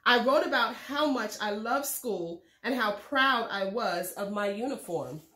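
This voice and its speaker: A woman reading a children's storybook aloud in a measured, expressive way. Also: on the high side, echoey room. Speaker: read-aloud host reading a children's book. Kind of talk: scripted storybook narration for children.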